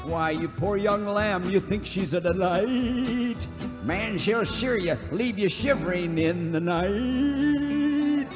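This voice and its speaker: High-pitched cranky old cowboy sidekick. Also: male voice, slow pace, in a large hall. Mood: preachy, folksy. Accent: American. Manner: folksy, exaggerated old-timer drawl. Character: cranky, boastful old cowboy sidekick, folksy and woman-wary. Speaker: comic singing sidekick. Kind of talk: western radio comedy with comic songs. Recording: music behind the voice.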